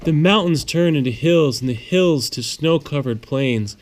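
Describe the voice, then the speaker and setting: A man, a father, narrating a children's bedtime storybook to his child in a plain read-aloud manner. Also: fairly high pitch. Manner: pauses to answer child's questions simply.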